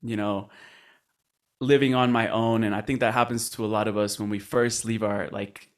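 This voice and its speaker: A man's voice: on the low side, little pitch movement, normal speed. A man in his twenties from Colombia, a podcast guest telling his story in an earnest, reflective way. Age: 20s. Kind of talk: casual podcast interview.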